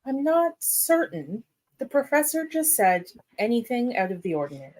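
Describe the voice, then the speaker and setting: A woman's voice: on the high side, very animated, moderate pace, darkish tone. A woman playing a principled, encouraging superheroine in a radio play, with a formal, reassuring manner. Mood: composed. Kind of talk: radio-play script reading.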